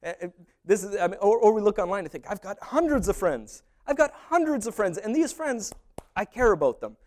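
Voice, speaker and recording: Animated man preaching a sermon. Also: high voice, brisk. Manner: animated, humorous asides, acts out little dialogues. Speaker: pastor.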